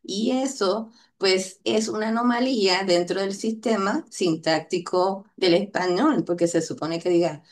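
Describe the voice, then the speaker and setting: A woman's voice: medium pitch, unhurried, hardly any pauses. A Puerto Rican woman, a linguistics researcher, explaining her findings unscripted, with many hesitant fillers and questioning tags seeking agreement. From Puerto Rico. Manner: hesitant, frequent "eh" fillers and "¿verdad?" tag questions.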